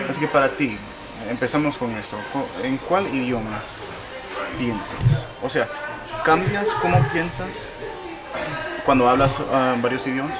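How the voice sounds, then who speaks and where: A man's voice: medium pitch, low-quality recording. A man from Rico, interviewing in a casual way, thinking aloud with restarts. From Puerto Rico.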